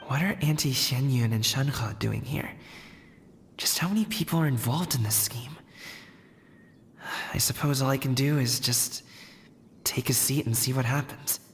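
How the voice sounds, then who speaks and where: Casting: halting, exasperated daughter, deep and unhurried. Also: female voice, long reverb tail, a little hiss or hum. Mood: uneasy. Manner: hesitant, stammering. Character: young woman, hesitant, exasperated daughter. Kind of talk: game voice acting.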